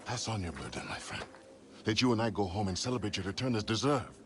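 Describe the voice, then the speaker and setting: An actor playing a jovial, soothing old alien cheesemaker in a video game, with a genial, playful manner. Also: male voice, lowish voice, big pitch swings, fast, gravelly, fairly bright tone, slight background noise, heavily acted. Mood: gently persuading. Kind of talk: video game cutscene acting.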